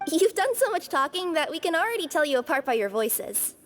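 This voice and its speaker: A woman's voice: high-pitched, sing-song, a little fast, background noise. A woman voicing a young female traveler in a video game, with a matter-of-fact, wry manner. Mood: wry, curious. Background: background music.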